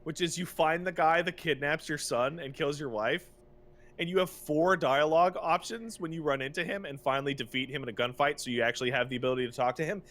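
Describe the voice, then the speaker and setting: Exasperated, sweary man with a higher-pitched voice. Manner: exasperated, sweary, animated ranting. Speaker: podcast co-host. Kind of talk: casual video game rant.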